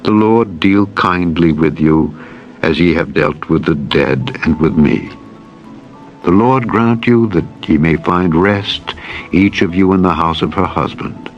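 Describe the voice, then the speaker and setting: A man reading as a sorrowful older widow, low-voiced. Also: a little slow. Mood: tender. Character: grieving, bitter older widowed mother-in-law. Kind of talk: dramatized scripture reading. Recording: dull lo-fi sound with music.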